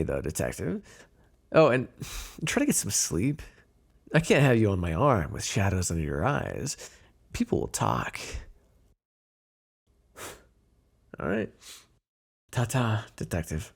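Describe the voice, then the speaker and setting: A man's voice: fairly low pitch, gravelly, exaggerated voice. A man playing a cocky, teasing mafia boss with a theatrical, flirty drawl in an ASMR roleplay.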